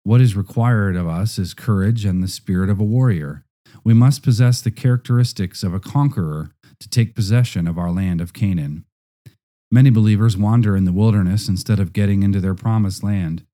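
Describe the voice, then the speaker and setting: Deep, very mellow male narrator. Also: fairly quick.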